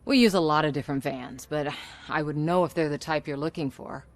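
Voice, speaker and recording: A woman's voice: low-pitched, fairly quick. An actress playing a scheming, manipulative woman in charge of a commercial shoot in a video game, sly and assertive. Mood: composed. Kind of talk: video game cutscene acting.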